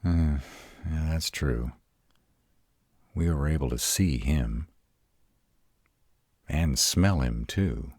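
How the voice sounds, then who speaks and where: Man acting as a grumpy were-dragon, extremely low voice, gruff, exasperated, protective, roleplay audio, close dry sound. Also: a little mellow, no echo, exaggerated voice. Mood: rattled, uneasy. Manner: gruff, exasperated, halting one-sided dialogue. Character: grumpy, protective male were-dragon, rattled but defiant.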